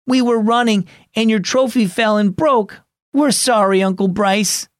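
A man's voice: high voice, a little slow, dry recording, clean studio sound, voice pushed up. A man voicing a defensive, nervous young boy in a storybook reading.

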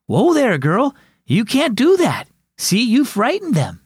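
A man voicing an excitable, eager young boy in a children's story. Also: high-pitched, a little slow, dry recording, studio quality, voice pushed up, exaggerated voice. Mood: alarmed. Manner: eager, exclamatory.

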